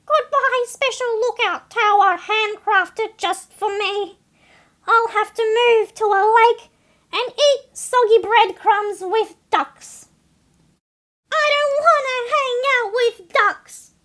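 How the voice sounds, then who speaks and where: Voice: very high-pitched, slow pace, sharp tone, exaggerated voice. A reader voicing a boastful, melodramatic seagull in a children's picture book, with a brash, wisecracking, theatrical delivery. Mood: despairing. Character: boastful, melodramatic cartoon seagull, streetwise snack thief. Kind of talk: animated picture-book read-aloud for children.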